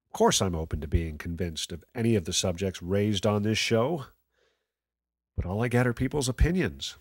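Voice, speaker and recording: A man acting as a skeptical late-night talk radio host with a fairly low voice, recorded close and dry. He challenges his callers with wry, sardonic banter in a radio play. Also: expressive, normal speed, no echo. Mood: doubtful, exasperated.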